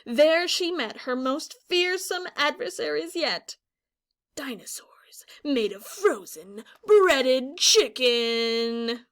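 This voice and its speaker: A woman teacher reads a children's picture book aloud in a high voice. Her pace is slow and drawn out, and her delivery is dramatic and expressive. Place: small indoor classroom or office.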